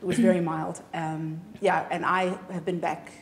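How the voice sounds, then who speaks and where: A woman's voice: lowish voice, little pitch movement, normal speed. Woman, South African accent, thirties, interview guest, echoey room. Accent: South African English. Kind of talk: casual interview chat. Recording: echoey room, faint ambient noise.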